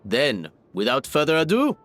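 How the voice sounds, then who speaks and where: An actor playing a flamboyant master of ceremonies at a grand hotel, speaking formally and theatrically in a video game. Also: male voice, a little high, wildly swooping pitch, moderate pace, a bit bright, faint music behind. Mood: formal, announcing. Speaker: contest announcer.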